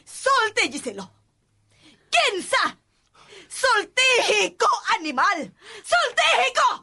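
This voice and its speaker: A stern Filipino madam in a Cebuano radio drama. Her voice is high, forceful and sharp, and she interrogates slowly and commandingly with drawn-out emphasis. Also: big pitch swings, gravelly, heavily acted. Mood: furious. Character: stern, imperious madam of the house, scolding. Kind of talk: Philippine radio drama acting.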